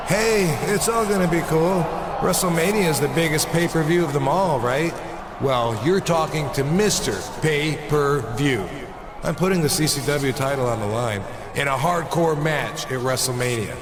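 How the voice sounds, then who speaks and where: A man's voice: fairly high pitch, moderate pace, lightly acted. A man playing a cocky, laid-back rebel wrestling champion who talks in slangy, defiant promos. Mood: boastful. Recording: very noisy arena ambience.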